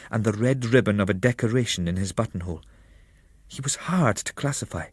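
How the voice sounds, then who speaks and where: A man reading a literary travel memoir as narrator, in a measured, expressive storytelling manner. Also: on the low side, no room sound, studio quality.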